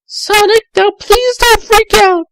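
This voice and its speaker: An eager, anxious young cartoon fox girl, acted by a man. The voice is a squeaky falsetto pitched far up. It is read as fan fiction with a dry, close sound. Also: steady tone, takes it slowly, vocal fry, fairly bright tone, dry recording, heavily acted. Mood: pleading, explaining.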